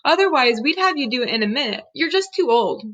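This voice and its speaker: A woman's voice: high-pitched, lively intonation, quick pace, fairly bright tone. A woman voicing a dismissive, matter-of-fact businessman in a children's storybook. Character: dismissive, bargaining adult man shooting a commercial. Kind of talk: children's storybook read-aloud. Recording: dull lo-fi recording.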